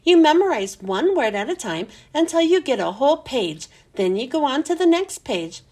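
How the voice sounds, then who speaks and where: A woman's voice: sits high, sing-song, normal speed, a little mellow. A woman voicing a proud, eager, studious schoolgirl in a children's storybook. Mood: reassuring. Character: proud, eager schoolgirl who loves rote work.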